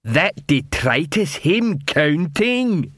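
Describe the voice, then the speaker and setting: Man as a plodding, slow-witted troll tough. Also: fairly high pitch, big pitch swings, fairly bright tone, no echo, studio quality, voice pushed up, exaggerated voice. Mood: amazed. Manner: broken grammar, plodding. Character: scornful, wide male troll street tough, slow-witted.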